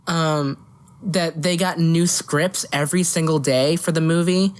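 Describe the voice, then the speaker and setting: Woman podcaster with a lowish voice. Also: fairly even intonation, a little slow. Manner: blunt, profane. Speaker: podcast co-host. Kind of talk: casual chat about movies.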